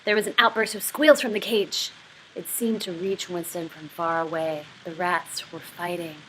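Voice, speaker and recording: A woman with a fairly low but bright, forward voice gives a lesson on literary craft. She moves between explanation and expressive read-aloud narration. There is faint noise behind her. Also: normal speed, low-quality recording. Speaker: writing teacher.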